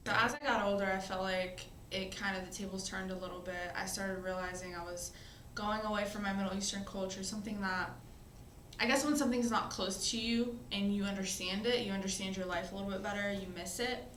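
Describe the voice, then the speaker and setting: A woman in her twenties speaks in a reflective, unhurried interview voice, often pausing with "um" and "you know." Her voice sits in a middle pitch range. The recording has a roomy echo and a faint hiss underneath. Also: fairly even intonation, fairly bright tone. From born in Syria, raised in the US. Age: 20s. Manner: conversational, reflective, frequent "um" and "you know" fillers. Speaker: college student, interviewee. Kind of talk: personal storytelling interview.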